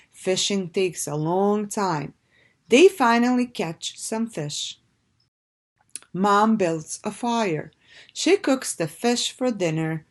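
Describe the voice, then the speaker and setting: A woman reading a children's storybook aloud in a deliberate, expressive way, like a teacher addressing young listeners. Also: mid-range voice.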